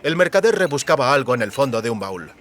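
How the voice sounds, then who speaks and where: Bright-voiced male audiobook narrator. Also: mid-range voice, sing-song, fast. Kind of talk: scripted dramatic narration.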